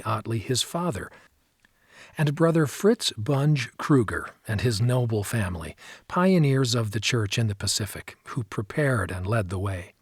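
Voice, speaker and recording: A male narrator reads scripted audiobook text in a mid-pitched voice with a husky grain. The sound is dry, with no room around it. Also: no room sound.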